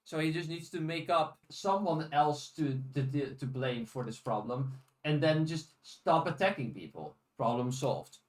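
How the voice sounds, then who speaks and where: A man's voice: fairly high pitch, expressive, a little slow. A man co-hosting a podcast in casual, rambling discussion, often paraphrasing in dialogue. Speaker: podcast co-host. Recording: roomy, echoey sound.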